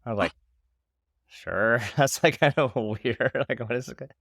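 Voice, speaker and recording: Animated man in his forties telling a story on a podcast, laughing often and jumping to excited highs. His voice is mid-pitched and recorded dry and close, with no room sound. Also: unhurried, no room sound. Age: 40s. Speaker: podcast co-host. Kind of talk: casual chat.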